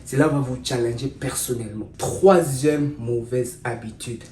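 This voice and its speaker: A man's voice: medium pitch, unhurried, a little mellow. A man from Congo, giving a motivational talk to viewers as a content creator, stressing points emphatically. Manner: emphatic, addresses viewers directly as "guys", repeats for stress. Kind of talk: motivational self-help talk. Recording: roomy and distant with faint noise.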